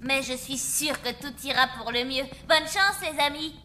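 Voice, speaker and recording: An actress playing an upbeat, considerate young zombie girl in a French children's cartoon, animated and earnest. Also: high voice, normal speed, slight background noise. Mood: earnest, hopeful.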